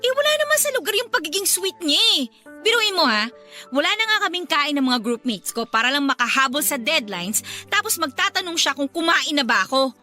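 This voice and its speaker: Radio drama role: exasperated female college student, high-pitched and bright, faint music behind. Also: sing-song, a little fast, full character voice. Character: stressed young female med student and her nagging younger sister. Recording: faint music under dialogue. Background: faint background music.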